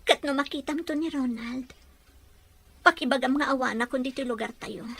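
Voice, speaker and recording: A woman's voice: high-pitched, normal speed, fairly bright tone, breathy edge, exaggerated voice. A woman acting a sorrowful young woman leaving home in a Filipino audio drama. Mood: resigned, sad.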